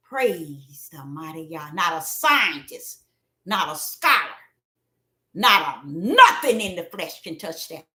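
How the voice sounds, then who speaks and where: A woman preaching a livestream sermon in a repetitive, preachy manner that swells into fervent shouts. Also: on the high side, reverberant.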